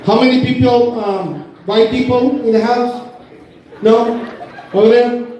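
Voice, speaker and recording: A man's voice: extremely high pitch, fairly even intonation, unhurried, mellow, dull audio, a little hiss or hum. A Mexican-born man, a native Spanish speaker, doing a stand-up comedy set in broken English mixed with Spanish slang, self-mocking. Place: comedy club stage. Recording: echoey room from far off, crowd behind.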